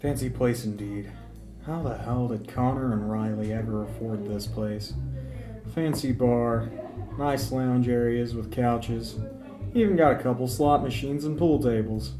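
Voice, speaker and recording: A man's voice: medium pitch, a little slow, close to an everyday voice. Young man acting an earnest detective, roomy echo. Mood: businesslike, observing. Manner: earnest, talks to himself in noir monologue. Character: eager, self-pitying male small-town police detective. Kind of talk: amateur detective radio drama acting. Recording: roomy echo, music behind.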